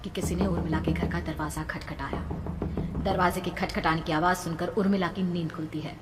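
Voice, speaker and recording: A woman's voice: fairly low pitch, fairly even intonation, brisk. A woman telling a horror story as dramatic scripted narration. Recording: long reverb, background noise.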